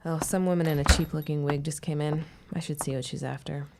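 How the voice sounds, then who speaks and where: Casting: sardonic young 1910s woman, lowish and gravelly, stubborn, radio-drama delivery. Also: fairly even intonation, heavy background noise. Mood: exasperated, sardonic. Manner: sardonic, quick-tongued. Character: wry, stubborn young shopkeeper's daughter and out-of-work teacher. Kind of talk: period radio-style audio drama acting.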